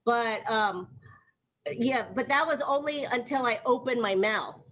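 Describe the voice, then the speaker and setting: A woman's voice: on the high side, a little slow. A young American woman from Ohio doing chatty stand-up storytelling at an online open mic, with lots of fillers and frequent laughs. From Ohio, USA. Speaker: stand-up comic. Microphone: video call. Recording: faint background noise, video call.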